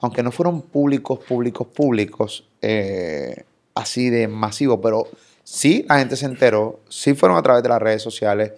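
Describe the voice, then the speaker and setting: Animated Puerto Rican man hosting a podcast. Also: mid-range voice, normal speed. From Puerto Rico. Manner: energetic, playful, swears, waves hands while talking. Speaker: podcast host. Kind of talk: casual interview. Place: pink studio set. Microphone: boom studio mic.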